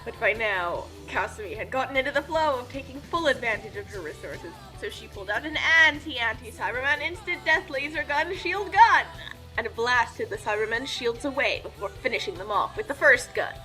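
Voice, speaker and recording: A woman's voice: on the high side, lively intonation, moderate pace, bright, forceful tone, low-quality recording. A woman narrating a scripted comic fan fiction tale in a theatrical, mock-epic manner, with music behind her.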